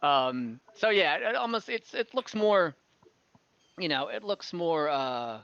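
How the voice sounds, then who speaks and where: A man's voice: fairly high pitch, very animated, creaky, fairly bright tone, smooth, dry recording, lo-fi sound. A man improvising narration as game master of a tabletop fantasy game, rambling and often stopping to correct himself. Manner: halting, rambling, self-correcting. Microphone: online voice call, close microphone.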